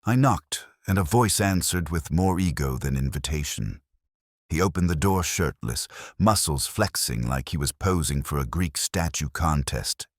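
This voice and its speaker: A man's voice: very deep voice, lively intonation, moderate pace, no echo, studio quality. A man narrating a scripted first-person detective story in a wry, hard-boiled manner. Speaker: audiobook narrator.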